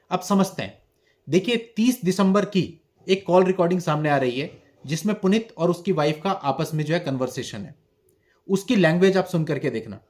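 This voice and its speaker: A man giving explanatory commentary on a legal case, speaking emphatically with rhetorical questions and mixed-in English terms. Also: fairly high pitch. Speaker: host of a legal issues video channel.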